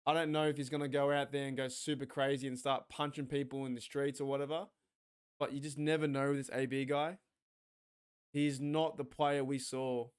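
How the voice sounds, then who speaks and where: An Australian man hosting a sports watch party stream, rambling excitably, swearing and talking back to his chat. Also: medium pitch, clear, resonant tone. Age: 40s.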